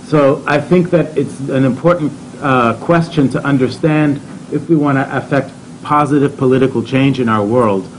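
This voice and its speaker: A man's voice: mid-range voice, slight background noise, rough recording. Thoughtful male panelist in his thirties, room mic. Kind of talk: panel talk. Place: seminar room.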